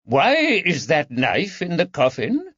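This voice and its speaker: A man's voice: fairly high pitch, huge pitch swings, takes it slowly, fairly bright tone, breathy edge, no room sound, lo-fi sound, pitched above the performer's own voice, full character voice. A man voicing a fussy, nervous magistrate in a period mystery audiobook, pompous and indignant. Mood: queasy alarm.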